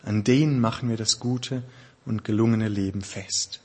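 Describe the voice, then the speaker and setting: A man preaches in a relaxed, conversational manner, stopping now and then to search for words. His voice is slightly husky. A long reverb trails behind him, as in a large church. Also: fairly low pitch, moderate pace. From Germany. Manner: thoughtful, conversational preaching with frequent hesitations. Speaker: pastor. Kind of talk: sermon.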